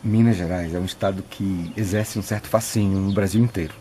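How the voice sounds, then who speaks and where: Middle-aged priest, low, warm voice, reflective and hesitant, light background hiss. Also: male voice, steady tone, a little fast. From Piauí, Brazil. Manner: thoughtful, many restarts and filler "né". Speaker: retreat preacher, priest. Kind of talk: reflective interview answers.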